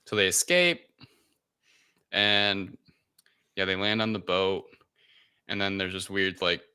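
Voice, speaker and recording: Deep, bright-voiced man recapping casually. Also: flat delivery, takes it slowly, smooth. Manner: hesitant, rambling delivery. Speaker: podcast co-host reviewing films. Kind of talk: casual film recap chat.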